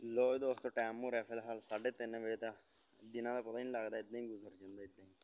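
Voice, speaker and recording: A man's voice: fairly low pitch, little pitch movement, fast, darkish tone. A young man with a Punjabi accent, chatting casually to his phone as a village vlogger. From rural Punjab. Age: young adult. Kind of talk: casual vlog chat. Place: outdoors in farm village. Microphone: phone at arm's length. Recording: outdoor phone recording with background noise.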